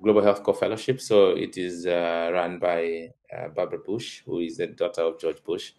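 A man with a very low, deep voice, from Zambia and in his thirties. He talks through his background as an interview guest, pausing often with ums and restarts and laughing softly now and then. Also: level tone. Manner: hesitant, many fillers and restarts, soft laughs. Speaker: guest, college tutor. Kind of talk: relaxed interview answers.

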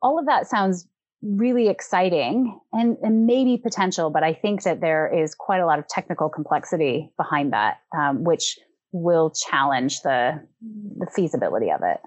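A woman speaking as a podcast guest, a consultant who talks through her ideas in a reflective, measured way. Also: sits low, darkish tone, no echo. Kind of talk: casual conversational discussion.

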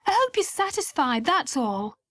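A woman's voice: high-pitched, sing-song, normal speed, bright, forceful tone, no room sound, voice pushed up, exaggerated voice. A woman reading as a scornful young woman. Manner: cold, cutting.

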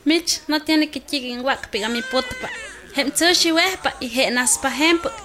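A woman's voice: sits high, a little slow, background noise. A woman playing a doctor who explains things to a new mother in a health radio drama. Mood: reassuring, instructive.

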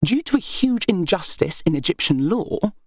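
A woman's voice: lowish voice, very animated, normal speed, breathy edge, no room sound, studio quality, voice pushed up, heavily acted. A woman voicing a proud, scornful young Egyptian princess in an audiobook story, full of haughty temper. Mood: contemptuous.

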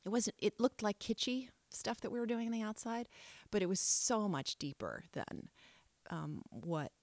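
A woman's voice: medium pitch, lively intonation, moderate pace, darkish tone, no room sound. A middle-aged woman telling her life story in an interview, reflective and frank, often trailing off and restarting her sentences. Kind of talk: candid personal storytelling.

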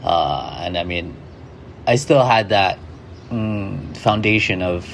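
A man's voice: sits low, slow, creaky, a bit bright, slight background noise. A man, a guest in an interview, reflecting in a loose way full of "like" and "you know". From Hawaii.

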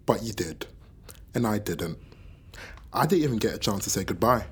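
An actress playing a bitter, grieving granddaughter in a naturalistic radio drama, with a husky voice and a blunt, brooding manner. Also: female voice, very deep voice, animated, normal speed, heavily acted. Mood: hurt, bitter.

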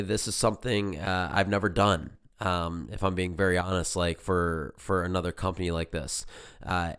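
A man hosting a casual solo podcast as a business coach, hoarse from being sick, talking earnestly with lots of fillers. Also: deep voice. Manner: rambling, filler-heavy, earnest. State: hoarse, losing voice from illness.

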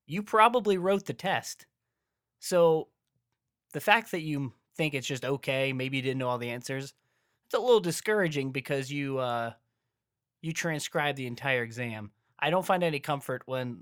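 A young man co-hosts a podcast, and he rants in a sarcastic, exasperated way. His voice sits at a middle pitch and is recorded close and dry, with no room sound. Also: fairly bright tone, dry recording. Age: young adult. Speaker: podcast co-host. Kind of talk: casual podcast rant.

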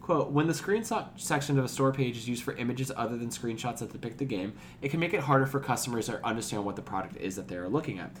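A man's voice: medium pitch, animated, fast talker, few pauses, a little hiss or hum. A man co-hosting a gaming podcast in an informal way, moving between casual chat and reading news. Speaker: podcast co-host.

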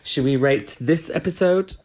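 Hoarse man joking around. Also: fairly high pitch, measured pace, darkish tone. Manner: crude, joking banter. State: hoarse, recovering lost voice. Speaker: podcast co-host. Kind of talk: casual comedic chat.

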